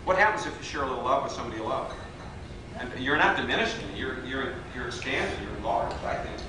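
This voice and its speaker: A man's voice: medium pitch, animated, fast talker, background noise. A man leading a live workshop, telling jokes and teasing people in the room. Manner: playful storyteller, teases audience members. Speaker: workshop leader. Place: large hotel meeting room. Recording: echoey room recorded from far off.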